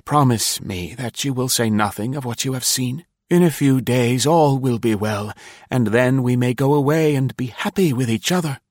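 A man's voice: fairly low pitch, a little slow, no room sound, clean studio sound, voice pushed up. A man playing an ardent, defensive male spy who pleads with his lover in a dogged, melodramatic way. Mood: eager.

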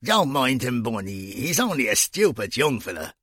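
A man voicing a calm, cautious older bouncer who talks in a low, placating way. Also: moderate pace, breathy edge, dry recording, clean studio sound.

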